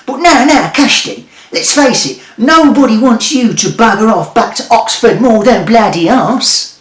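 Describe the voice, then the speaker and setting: A woman voicing a loud, greedy male wizard who swindles his customers, shouting and swearing with cheeky patter. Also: fairly high pitch, expressive, measured pace, roomy sound, pitched above the performer's own voice. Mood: brash, gleeful.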